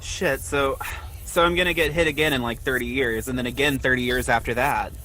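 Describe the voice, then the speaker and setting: An actor playing a lonely, ashamed man in his twenties, speaking in a halting, confessional way in an audio drama. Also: medium pitch, fairly even intonation, pushed and bright, a little hiss or hum, close to an everyday voice, low-quality recording. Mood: subdued, wry. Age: 20s. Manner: halting, confessional, repeats himself. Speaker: podcast host acting in own audio drama.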